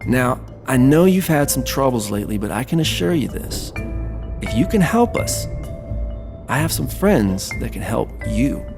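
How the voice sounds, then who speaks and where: A man playing a slick, scheming businessman in an audio drama, smooth-talking and persuasive. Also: medium pitch, big pitch swings, moderate pace, music in the background. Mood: coaxing.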